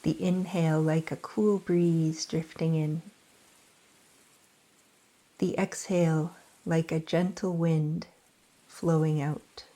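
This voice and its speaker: Calm woman leading yoga nidra, low-pitched and unhurried, gentle pauses. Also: poor audio. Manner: slow, soft, soothing delivery with long pauses. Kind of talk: guided meditation.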